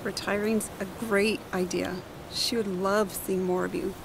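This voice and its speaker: A woman playing a caring, perceptive ex-wife and mother in an audio drama, gentle and concerned. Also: medium pitch, little pitch movement, unhurried, creaky, noisy background. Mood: concerned, tender.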